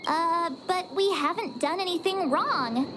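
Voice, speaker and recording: A woman's voice: very high-pitched, measured pace, noisy background. A woman playing a perky, anxious, outspoken teenage girl adventurer in a video game, talking in a casual, girlish way. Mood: worried.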